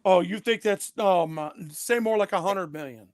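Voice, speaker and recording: A man's voice: high-pitched, moderate pace, dry recording, studio quality. A man talking politics in casual chat, blunt and emphatic, swearing freely.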